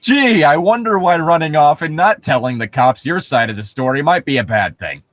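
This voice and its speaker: Sarcastic guy riffing on a story, voice kinda high, swings around a lot, swears. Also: expressive, moderate pace, fairly bright tone, no room sound, studio quality. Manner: sarcastic, foul-mouthed. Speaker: podcast co-host reading horror fiction aloud. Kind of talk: mocking read-aloud with casual commentary.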